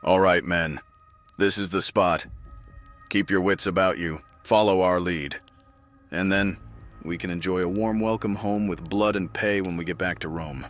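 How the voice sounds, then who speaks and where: An actor playing a world-weary mortal military commander and devoted father in a fantasy audio drama, measured and earnest with dry wit. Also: low-pitched, fairly even intonation, fairly bright tone, soft background music. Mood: commanding. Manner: measured, earnest, dryly wry.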